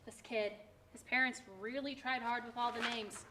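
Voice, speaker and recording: An actress in a staged play reading, playing a brusque, sarcastic government social worker who talks in a blunt, hurried way. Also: female voice, a little high, steady tone, background noise, close to an everyday voice.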